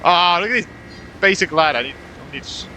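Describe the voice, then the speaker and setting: A man's voice: high voice, animated, a little fast, a little hiss or hum, rough recording. A young man giving casual, reactive let's-play commentary as a gaming streamer, with informal muttered asides. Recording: loud game music behind. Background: game music.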